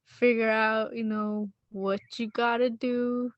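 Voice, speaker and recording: A woman's voice: fairly high pitch, little pitch movement, takes it slowly, dry recording, dull audio. A woman whose first language is Spanish, a laid-back podcast guest who repeats words as she gets started. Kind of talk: casual podcast chat.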